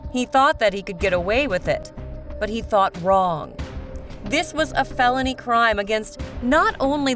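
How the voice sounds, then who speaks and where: A woman playing a stern, accusing prosecutor in an animated comedy, speaking formally and deliberately. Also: fairly high pitch. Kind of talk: animated comedy courtroom dialogue.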